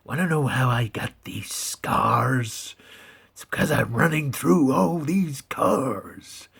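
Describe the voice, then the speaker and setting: A man doing an unhinged villain clown, slow taunting drawl, raspy, lively swoops. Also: mid-range voice, pitched above the performer's own voice, heavily acted.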